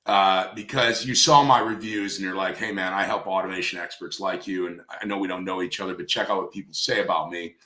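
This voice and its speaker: A man's voice: low voice, bright, forceful tone. A man speaking conversationally in an industry interview, coaching emphatically and repeating key phrases for stress. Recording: roomy, echoey sound.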